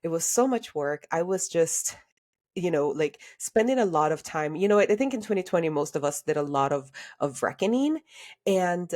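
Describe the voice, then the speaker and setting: A woman with a slightly low voice talks as a guest on a podcast. She grew up in Honduras and then the United States, and she tells personal stories in a candid, reflective, conversational way. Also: animated, normal speed, a little mellow. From Honduras until age eight, then United States. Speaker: podcast guest, career coach.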